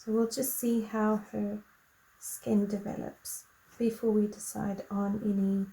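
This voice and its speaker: A woman hosting a colored-pencil tutorial in a relaxed, chatty way, her voice croaky from a lingering cough. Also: medium pitch, rough recording. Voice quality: slow, soft-spoken and gentle.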